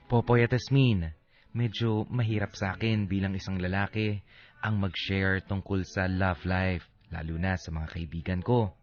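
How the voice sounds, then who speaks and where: Deep-voiced Filipino man, wistful narrator. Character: heartbroken adult elder brother working abroad as nurse, narrating. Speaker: drama letter sender and narrator. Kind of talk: scripted letter narration in radio drama. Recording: dull lo-fi radio audio.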